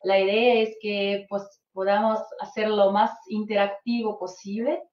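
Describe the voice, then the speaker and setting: A woman's voice: mid-range voice, flat delivery, unhurried. A Brazilian woman whose first language is Portuguese, giving a live online lecture as a psychologist, hesitating often with "eh". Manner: hesitant, frequent "eh" fillers. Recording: roomy, dull lo-fi stream audio.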